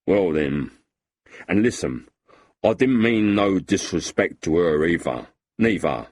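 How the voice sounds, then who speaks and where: A man voicing a boozy, sentimental lowlife with a husky voice and a blustering, self-pitying way of talking. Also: lively intonation, slow, a little mellow, exaggerated voice. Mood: maudlin.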